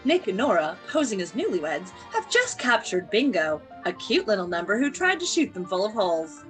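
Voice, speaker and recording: Casting: actress for vintage radio serial narrator, very lively presentational delivery, medium pitch, music bed. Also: female voice, normal speed. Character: radio play narrator introducing an adventure. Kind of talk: old-time radio announcer narration.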